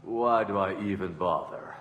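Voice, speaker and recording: A man's voice: sits low, moderate pace, background noise, close to an everyday voice. Man playing a nervous underworld flunky, echoey. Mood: nervous, evasive. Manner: hesitant, evasive, stammering. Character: nervous, cowardly male underworld middleman. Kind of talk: video game cutscene dialogue.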